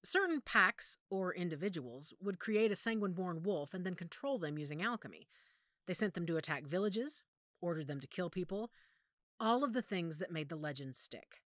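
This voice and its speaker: A woman playing a knowledgeable werewolf pack member in an audio drama, speaking in a measured, authoritative, explanatory way. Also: lowish voice, lively intonation, fairly bright tone, no echo, clean studio sound. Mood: serious, explanatory. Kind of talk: audio roleplay drama acting.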